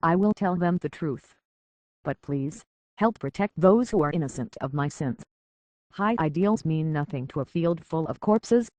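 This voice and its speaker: An actor voicing a remorseful, idealistic synth leader in a video game, speaking in a grave, measured and deliberate way. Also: male voice, mid-range voice, warm tone, dry recording, studio quality. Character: solemn, remorseful male synth android leader, idealistic and weary.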